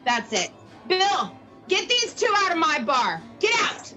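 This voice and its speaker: A woman's voice: very high-pitched, huge pitch swings, unhurried, sharp tone, faint music behind, exaggerated voice. A woman playing a tough, suspicious, hostile bartender in a radio play, brusque and slangy. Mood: angry, barking.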